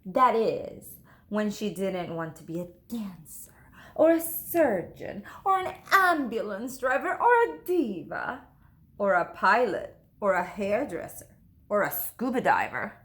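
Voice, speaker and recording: A woman teacher reading a children's story aloud, expressive and emphatic, speaking to young listeners. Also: medium pitch, unhurried. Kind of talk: animated storybook narration. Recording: echoey room.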